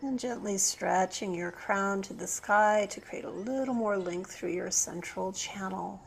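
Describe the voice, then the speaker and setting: A woman leading a guided meditation as a yoga teacher, speaking gently and unhurriedly with pauses between phrases. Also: medium pitch, gravelly, slight background noise.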